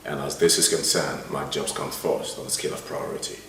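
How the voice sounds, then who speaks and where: Very deep, formal, dutiful professional. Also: male voice, little pitch movement, sharp tone, slight background noise. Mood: stern, dutiful. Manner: formal, measured. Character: firm, formal professional man, dutiful and cautioning. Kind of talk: film melodrama acting. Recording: very echoey room, distant, music behind.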